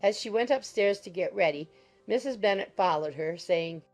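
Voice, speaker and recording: A woman's voice: mid-range voice, expressive, normal speed, soft background music. A woman narrating a classic novel as a scripted audiobook.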